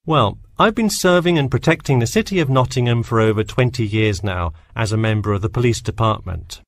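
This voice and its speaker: Male police officer, medium pitch, measured, instructive, scripted safety lecture. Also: no room sound. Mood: informative, reassuring. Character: experienced male police officer giving a campus safety talk.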